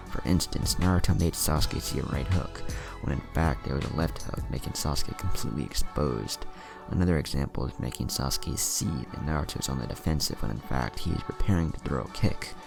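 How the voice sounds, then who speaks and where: Guy doing a chill analytical ninja teacher, super low creaky voice, anime story, music behind. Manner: explanatory, measured. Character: calm, amused male ninja teacher, analytical.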